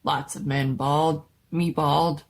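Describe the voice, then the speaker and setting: A woman's voice: deep voice, fairly even intonation, slow, gravelly, slight background noise, heavily acted. A woman voicing a simple, laconic male assistant in a story reading, speaking in blunt, clipped phrases. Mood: simple, helpful.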